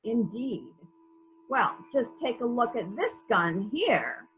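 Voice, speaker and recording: A woman playing a brisk, authoritative police detective who puts pointed questions in a murder mystery reading, on slightly dull lo-fi audio. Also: a little high, big pitch swings, fairly bright tone, full character voice. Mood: probing, brisk.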